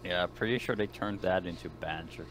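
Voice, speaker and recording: A man's voice: deep voice, moderate pace, noisy background. A man chatting casually as a player in an online tabletop game. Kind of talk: casual chat.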